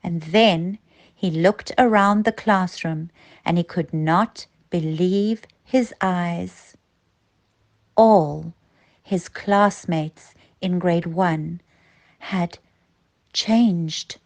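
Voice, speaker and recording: Slow, expressive woman telling a children's story. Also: on the low side. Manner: expressive, dramatic emphasis.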